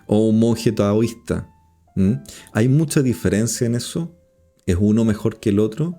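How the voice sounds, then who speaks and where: A man from Chile, giving a reflective podcast monologue in a measured, musing way and often pausing on rhetorical questions. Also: on the low side, animated, mellow, soft background music. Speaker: podcast host.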